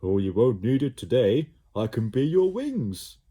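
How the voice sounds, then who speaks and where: A male teacher voicing a playful, obliging slime creature in a children's storybook reading, drawn-out and gleeful. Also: medium pitch, sing-song, warm tone, breathy edge, voice pushed up.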